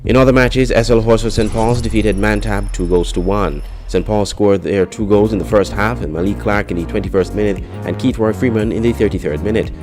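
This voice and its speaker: A man's voice: lowish voice, fairly even intonation, fairly quick, few pauses, over music. A man with a Caribbean accent reading a scripted sports news report as a newsreader.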